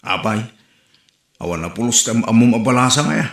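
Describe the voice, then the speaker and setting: A man's voice: mid-range voice, a little fast, reverberant. A man with an Ilocano accent acting an exasperated single son in a comedy skit.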